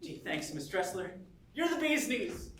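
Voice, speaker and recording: An actor playing an eager, slangy delivery messenger in a staged reading. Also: male voice, high-pitched, very animated, a little slow, a bit bright, heavily acted. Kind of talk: theatrical acting in a staged musical reading. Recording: distant echoey stage sound, faint noise.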